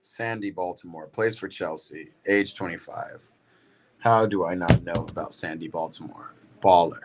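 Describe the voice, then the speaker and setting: A man's voice: sits low, moderate pace, noisy background. A man hosting a football watch-party livestream, chatting casually and tiredly with viewers in slangy talk. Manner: slangy and laid-back, calls viewers bro and y'all.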